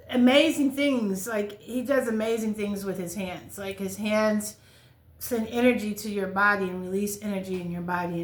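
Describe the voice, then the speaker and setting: A woman's voice: mid-range voice, a bit bright. An American woman in her fifties from California, telling personal stories in a candid, casual, conversational way. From California, United States. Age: 50s. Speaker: vlogger sharing life stories. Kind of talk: casual personal storytelling to camera. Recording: roomy, echoing sound.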